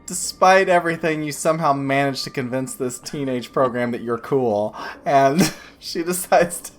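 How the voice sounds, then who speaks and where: A woman's voice: a bass voice, normal speed, soft background music. A woman narrating as game master in a tabletop game, talking loosely with many fillers and restarts. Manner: loose, hesitant, full of fillers.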